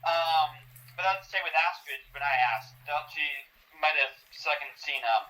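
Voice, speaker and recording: A man playing an excitable, defiant, sweary researcher of cursed artifacts in a horror drama, with a halting, sardonic way of blurting things out. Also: on the high side, poor audio. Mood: flustered. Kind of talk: horror roleplay dialogue on a call.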